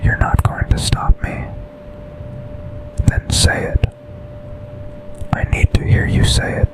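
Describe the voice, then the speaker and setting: Man acting as slow, whispering seductive boss. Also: close to an everyday voice, rough recording. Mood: urgent, yearning. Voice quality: breathy whisper.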